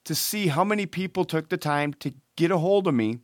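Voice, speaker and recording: A man with a somewhat high voice talks straight into the mic, hoarse and sick with a cold. He rambles and rants as a podcast host, full of fillers and restarts, in a dry, close sound. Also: expressive, a little fast, no room sound. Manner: ranting, chatty, with fillers and restarts. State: hoarse, losing his voice from a cold. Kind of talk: casual podcast monologue.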